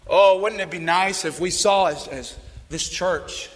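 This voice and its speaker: A hoarse man preaching a sermon as youth pastor, swinging between loud fired-up calls and low earnest appeals. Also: measured pace, in a large hall.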